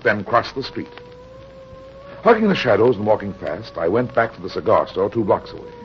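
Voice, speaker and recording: An actor playing a tough, matter-of-fact American private detective, with clipped old-time radio noir delivery. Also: male voice, on the low side, highs cut off, slight background noise, lightly acted. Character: hard-boiled, unsentimental male private detective in pulp radio drama.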